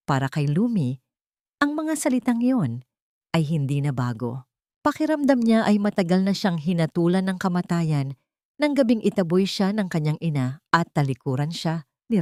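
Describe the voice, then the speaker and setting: Low-voiced woman narrating a drama. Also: mellow, dry recording, clean studio sound. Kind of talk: scripted dramatic story narration.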